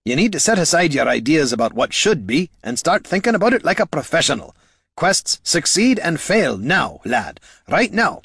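A man voicing a gruff, blunt veteran adventurer who lectures a young recruit in a storybook reading. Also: fairly high pitch, a bit bright, no echo, studio quality, voice pushed up, heavily acted.

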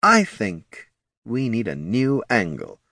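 A man playing undead lich wizard, hollow voice, measured, dryly unimpressed, weary overlord, fantasy audiobook, close dry sound. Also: lowish voice, huge pitch swings, gravelly, sharp tone, no echo, voice pushed up, full character voice.

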